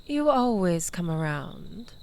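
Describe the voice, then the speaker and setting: A woman's voice: fairly low pitch, big pitch swings, takes it slowly, darkish tone, smooth, exaggerated voice. A woman playing a manipulative, flirtatious ex-lover in an intimate audio roleplay, languid and teasing, coaxing the listener with theatrical pleading. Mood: mock pleading.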